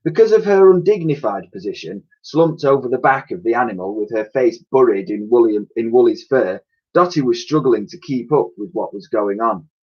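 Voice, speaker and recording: Animated man reading a children's story. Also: mid-range voice, moderate pace, breathy edge. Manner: expressive, dramatic storytelling. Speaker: primary school teacher reading aloud. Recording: dull, lo-fi recording.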